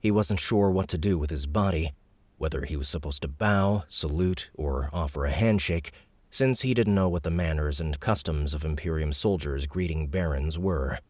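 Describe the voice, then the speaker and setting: A man narrating an audiobook in an expressive storytelling manner, close to the mic. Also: rumbling low, normal speed, no room sound. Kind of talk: scripted narration.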